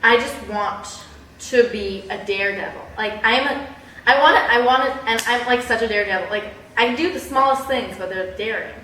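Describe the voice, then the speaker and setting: A young woman chatting casually in a personal vlog, with a bubbly, animated, giggly way of exaggerating things. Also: fairly high pitch, a little fast. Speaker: vlogger. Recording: roomy echo, distant mic, background hiss.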